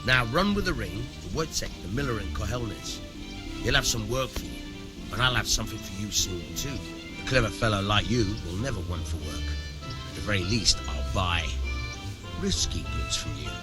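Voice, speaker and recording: An actor playing a shrewd miller who fences stolen goods, plain-spoken and avuncular, in a medieval video game. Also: male voice, fairly low pitch, animated, moderate pace, over music, natural voice. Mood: sly, approving.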